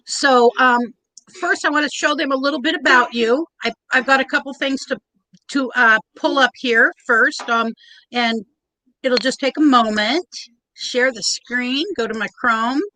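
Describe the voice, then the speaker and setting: A woman hosting a casual livestream, chatty and a little nervous, with a loud, big voice. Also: high voice, a little slow, poor audio. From Oregon. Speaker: livestream host.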